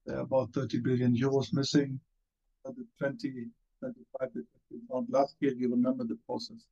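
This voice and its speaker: German-accented male panelist on a muffled call, mellow tone, halting delivery, plenty of ums. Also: medium pitch, little pitch movement, gravelly. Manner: hesitant, many fillers and restarts. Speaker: panelist, former member of parliament. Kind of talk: online panel discussion. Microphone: video call.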